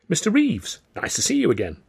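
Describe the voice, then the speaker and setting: A man's voice: a little high, wildly swooping pitch, a little fast, creaky, husky, pitched above the performer's own voice, heavily acted. A man puts on a lady receptionist at a theatre in a period storybook. She is courteous and chatty, her voice lifted well above his own. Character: polite female theatre receptionist.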